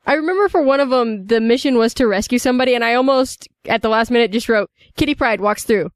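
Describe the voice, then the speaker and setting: A woman's voice: on the high side, fast, no echo. A woman co-hosting a podcast, chatting casually in a laconic way with dry humor. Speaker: podcast co-host. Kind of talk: casual chat.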